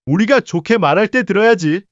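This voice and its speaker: A man's voice: sits high, animated, a little fast, dry recording, highs cut off. A man playing a menacing, mocking street thug in a narrated story, with a swaggering, sneering manner.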